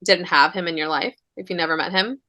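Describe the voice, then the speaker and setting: A woman with a distinctly bright voice, co-hosting a podcast. She talks casually and affirmingly, encouraging the other speaker and posing conversational questions. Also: fairly low pitch, quick pace. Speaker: podcast co-host.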